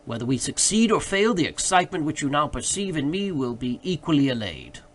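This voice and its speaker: Guy reading as a pompous keyed-up gentleman in an old story, insistent, pitch moves around a lot, some noise. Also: very animated, a little fast. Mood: excited, insistent. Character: excited, grandiloquent gentleman obsessed with a strange quest. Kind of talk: literary audiobook character dialogue.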